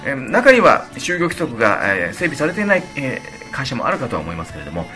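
A man's voice: medium pitch, huge pitch swings, fast talker, over music. A man giving upbeat, polite explanatory advice on the radio as a consultant, in a presenter-like way. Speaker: labor and social security consultant, show regular.

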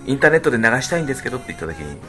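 A man's voice: mid-range voice, sing-song, very fast, sharp tone. A man explaining things in conversational radio talk, polite, breaking his phrases up with frequent small interjections. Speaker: radio show co-host, band leader. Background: background music.

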